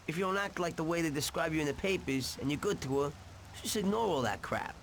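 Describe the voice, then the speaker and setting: Gravelly, cocky American mobster. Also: male voice, fairly high pitch, lively intonation, fast, a little hiss or hum. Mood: teasing. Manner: brash, slangy street talk. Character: cocky, hot-tempered 1930s American mobster. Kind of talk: dramatic video game voice acting.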